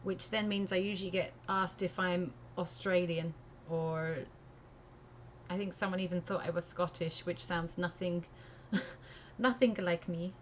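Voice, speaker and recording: A woman's voice: lowish voice, steady tone, unhurried. English-American accented woman, noisy background. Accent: English blended with American. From England. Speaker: art livestream host. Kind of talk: casual live craft tutorial, thinking aloud. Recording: noticeable background noise.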